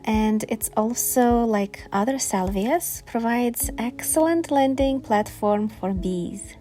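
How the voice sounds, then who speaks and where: Slow-paced Ukrainian-accented woman. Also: a little high, mellow. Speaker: gardening video host. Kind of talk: informal explanatory vlog narration.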